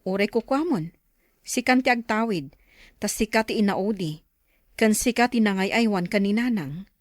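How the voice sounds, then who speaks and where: Medium pitch, dry sound, Filipino accent, woman acting unsentimental older sister, family audio drama. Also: expressive, normal speed, no room sound, lightly acted. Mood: matter-of-fact.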